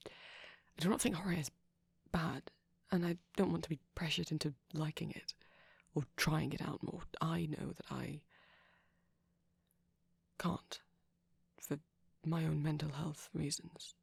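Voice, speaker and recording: Man acting a shy, breathy vampire boyfriend. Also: a little high, steady tone, unhurried, no room sound, exaggerated voice. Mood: anxious, earnest. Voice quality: soft, breathy, often whispering. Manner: hesitant, rambling, apologetic. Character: shy, gentle, nervous young male vampire boyfriend. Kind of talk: intimate ASMR boyfriend roleplay. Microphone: close microphone.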